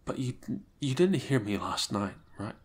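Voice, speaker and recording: A young man speaking softly and hesitantly in an improvised ASMR roleplay, often dropping to a whisper. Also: on the low side, very animated, normal speed, husky.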